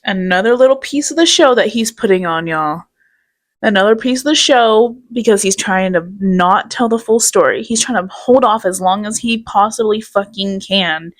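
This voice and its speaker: A woman's voice: medium pitch, normal speed. A woman hosting a commentary video, reacting to a streamer's clips with sarcasm and scorn, and swearing. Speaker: commentary host.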